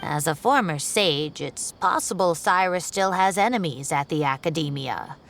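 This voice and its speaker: A woman voicing a genteel, worried older female professor in a video game, with gently fretful exclamations. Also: low voice, expressive, moderate pace, gravelly, a little hiss or hum, exaggerated voice. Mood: earnest.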